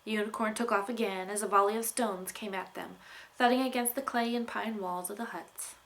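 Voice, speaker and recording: A woman narrating a young adult fantasy story as an author reading aloud, with expressive delivery. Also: medium pitch, brisk. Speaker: author reading from own novel.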